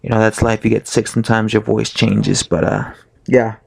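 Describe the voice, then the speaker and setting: A man with a hoarse voice from being sick, giving a casual, rambling opinion monologue as a boxing vlogger, full of fillers and self-corrections. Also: fairly low pitch, flat delivery, normal speed.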